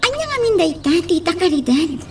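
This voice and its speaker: Young Filipino daughter in radio drama: high voice, insistent and upset, soft music behind. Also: female voice, big pitch swings, fairly quick, heavily acted. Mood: upset, determined. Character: distressed, insistent young adult daughter in love.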